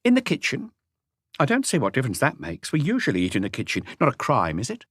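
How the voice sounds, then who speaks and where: A man's voice: mid-range voice, huge pitch swings, fast, fairly bright tone, husky, no echo, studio quality, pitched above the performer's own voice, exaggerated voice. A man voicing a defiant, impatient woman who is blunt, scornful and unapologetic, in an audiobook story. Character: defiant, impatient woman, proud of her lover.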